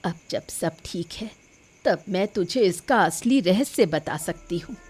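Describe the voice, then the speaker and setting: Woman playing a slow-talking, wise old village mother. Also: fairly low pitch, expressive, a little mellow, breathy edge. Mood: calm, revealing. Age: older. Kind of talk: scripted cartoon story acting. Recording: music bed under the voice.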